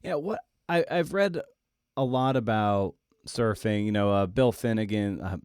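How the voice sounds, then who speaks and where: A man hosting a conversational interview, phrasing his questions in a halting, searching way. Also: lowish voice, a little mellow, clean tone, dry recording, clean studio sound. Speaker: podcast host.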